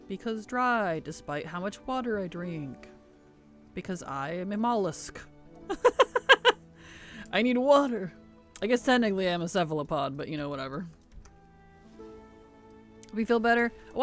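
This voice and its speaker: A streamer chatting casually while playing a game and answering chat, speaking around a cough drop with a slightly rough, sensitive throat. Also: mid-range voice, fairly bright tone.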